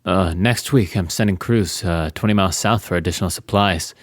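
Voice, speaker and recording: An actor playing an unhurried male crew organizer in a survivor settlement, laconic and a little hesitant, in an audio drama. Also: low-pitched, no room sound, clean studio sound. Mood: calm, matter-of-fact.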